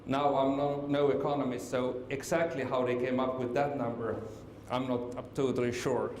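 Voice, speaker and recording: A man's voice: medium pitch, flat delivery, normal speed, darkish tone. Finnish man presenting in an echoey hall. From Finland. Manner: hesitant, many fillers and restarts. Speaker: conference speaker. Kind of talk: conference presentation. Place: conference hall. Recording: echoey hall with faint noise.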